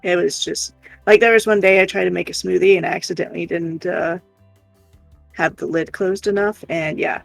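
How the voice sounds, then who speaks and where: A woman's voice: lowish voice, steady tone, normal speed, gravelly, dry recording, soft background music, rough recording. A woman co-hosting a livestream in casual, rambling chat. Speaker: livestream co-host.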